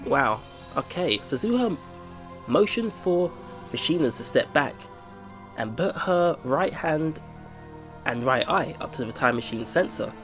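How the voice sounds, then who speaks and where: A man's voice: a little high, lively intonation, a little slow, a bit bright. A man hosting a let's play, reading visual novel narration aloud and adding informal, exclaiming reactions. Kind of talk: reading game narration aloud with casual commentary. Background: game music.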